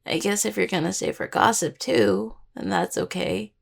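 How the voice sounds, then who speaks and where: A woman playing a grieving, tender young necromancer in an intimate audio roleplay, speaking haltingly and apologetically to a partner. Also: mid-range voice, steady tone, gravelly. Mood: tearful, apologetic.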